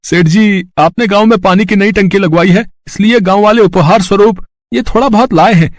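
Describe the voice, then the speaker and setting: A man's voice: high-pitched, a little fast, dry recording, pitched above the performer's own voice, natural voice. A man voicing an eager, respectful village underling in a moral-story cartoon. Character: eager, deferential male servant or villager.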